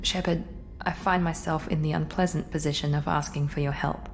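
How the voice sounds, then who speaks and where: An actress playing a proud, guarded female operative in a video game, crisp, formal and controlled. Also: low voice, steady tone, fairly quick, a little hiss or hum. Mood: guarded, serious. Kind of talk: video game character acting. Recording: game audio with faint ship ambience.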